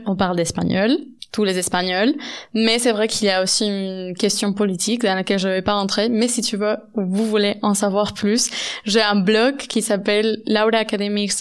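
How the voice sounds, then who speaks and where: Woman, smooth clear voice. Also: mid-range voice. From Catalonia, Spain. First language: Catalan. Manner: earnest, laughs often, searches for words in French. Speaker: podcast host. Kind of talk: casual conversation.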